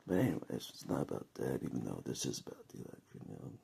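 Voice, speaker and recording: An American man with a gravelly, husky voice, chatting casually and jokily in a rambling vlog monologue, often chuckling. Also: extremely low pitch, big pitch swings, quick pace, dark tone. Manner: chuckles often, jokey, conversational. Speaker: vlogger giving political opinion.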